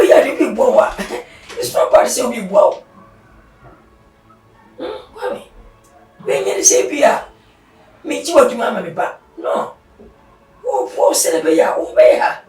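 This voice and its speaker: A man putting on a shrieking, excitable character who wails, squeals and protests. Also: very high-pitched, pitched above the performer's own voice, heavily acted. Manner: squealing, laughing and whining. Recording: roomy, distant mic with music.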